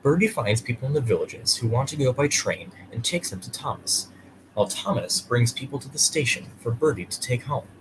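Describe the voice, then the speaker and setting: A man's voice: medium pitch, expressive, a little fast, background noise. A man hosting and reading a children's bedtime story aloud. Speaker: storyteller and host.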